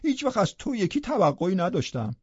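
A man's voice: sits high, very animated, slightly rough, no room sound, muffled recording, heavily acted. A man reading an audiobook, voicing a proud, bitter young woman who scolds with contempt.

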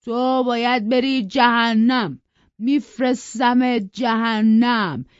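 A woman voicing a violent, raging father who shouts threats and mocks. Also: fairly high pitch, smooth, dry recording, highs cut off, pitched above the performer's own voice, natural voice.

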